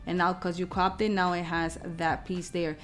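A woman's voice: lowish voice, level tone, normal speed. Woman giving a casual step-by-step tutorial, music behind. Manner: informal, step-by-step explaining. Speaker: craft tutorial host. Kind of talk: casual screen-recorded software tutorial.

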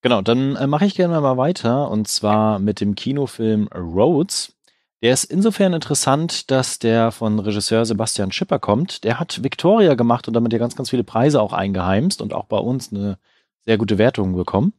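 A man co-hosting a casual film news podcast, speaking in a relaxed, affirming way and recommending titles. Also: medium pitch, normal speed, dry recording, studio quality. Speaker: podcast co-host.

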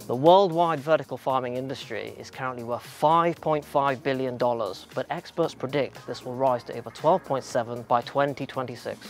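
A man's voice: mid-range voice, moderate pace, hardly any pauses. A man delivering scripted promotional narration as a company spokesman. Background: music.

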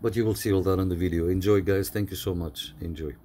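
A man hosting his own channel, casually explaining a homemade radio antenna in an easygoing, unhurried way with many fillers. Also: deep voice, soft background music. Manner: relaxed, explanatory, frequent "uh" fillers. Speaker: CB radio hobbyist host. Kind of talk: casual how-to narration.

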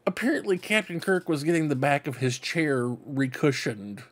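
A man's voice: a little high, lively intonation, moderate pace. A middle-aged man hosting a fan vlog, giving casual show-and-tell commentary in a rambling, conversational way. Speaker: host of a fan book club vlog. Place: cluttered room indoors at home. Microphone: desk microphone close in front.

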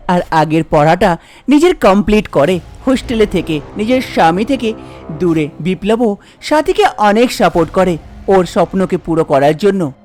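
A woman's voice: mid-range voice, a little mellow. A woman narrating a scripted cartoon story as its storyteller.

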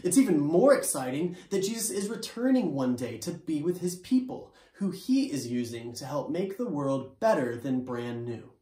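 A young man leading a school chapel from home, reading scripture calmly and singing playful children's songs with guitar. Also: on the high side, moderate pace, hardly any pauses. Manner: playful, animated when singing, calm and plain when reading. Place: living room, seated on a couch. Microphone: camera in front, upper body framing. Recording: roomy home recording with guitar.

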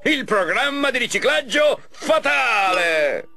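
A man voicing a vain mad-scientist villain in an animated game, theatrical, smug and mocking. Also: very high voice, slow pace, gravelly, faint music behind, heavily acted. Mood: gloating. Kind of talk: animated game cutscene dub.

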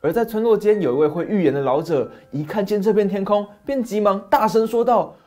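A middle-aged man tells a fairy tale with dramatic, animated delivery. He is recorded on a lapel mic in a small study, with faint music underneath. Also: high voice, brisk. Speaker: storyteller host. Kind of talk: scripted fairy-tale narration. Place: small dim study set.

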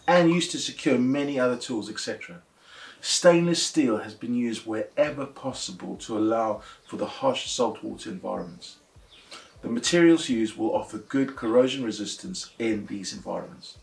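A man in his forties with a mid-pitched voice presents a product to camera. He explains in a scripted review style, asking questions and answering them himself. The sound is roomy, with noticeable echo from an indoor workshop studio. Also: vocal fry.

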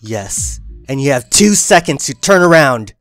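A man's voice: mid-range voice, sing-song, a little slow, a bit bright, no room sound, soft background music. A man hosting and acting in a dramatic improvised Minecraft roleplay. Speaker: gaming roleplay host.

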